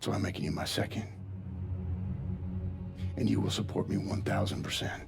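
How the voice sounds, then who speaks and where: A hard, bitter wartime sergeant with a low, gravelly voice. He gives commands through gritted teeth, domineering and menacing, in cinematic game style, with music clearly behind him. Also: little pitch movement, normal speed, darkish tone. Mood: cold, threatening. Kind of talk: cinematic war game acting.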